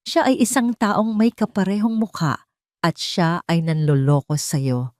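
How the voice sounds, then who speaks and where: A woman's voice: fairly low pitch, expressive, unhurried, a little mellow, no room sound, studio quality. A woman voicing a stern, haughty mother who scolds her grown son in a hard, reproachful manner. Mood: insistent.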